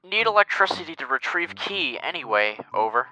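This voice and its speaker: A man's voice: fairly high pitch, big pitch swings, a little fast, bright, forceful tone, soft background music, exaggerated voice, rough recording. An actor playing an earnest, paranoid boy acting as military mission control, speaking in clipped radio talk in a fan dub. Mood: serious, alert.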